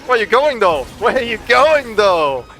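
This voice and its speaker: A young man with a German accent, giving excitable, swearing, trash-talking gameplay commentary. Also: high voice, unhurried, soft background music. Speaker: gaming streamer.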